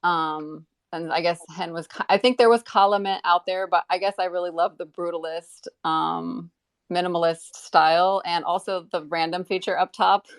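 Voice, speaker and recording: An American woman chatting casually as an interview guest, rambling and halting as she rephrases mid-sentence. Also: on the low side, fairly even intonation, clear, resonant tone. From United States. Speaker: interview guest, community member. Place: live online audio group chat.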